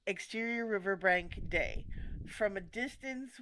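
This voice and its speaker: A woman's voice: medium pitch, unhurried, fairly bright tone, a little hiss or hum, rough recording. A woman narrating a script in an informal read-through, now and then tripping over words and correcting herself.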